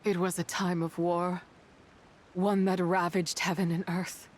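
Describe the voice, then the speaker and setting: A woman voicing a sorrowful, wrathful spirit in a fantasy video game, speaking in a solemn, archaic and measured way. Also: on the low side, little pitch movement, a little hiss or hum, lightly acted. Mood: anguished sorrow. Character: sorrowful, wrathful female spirit remnant finding peace at last. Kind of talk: dramatic video game voice acting.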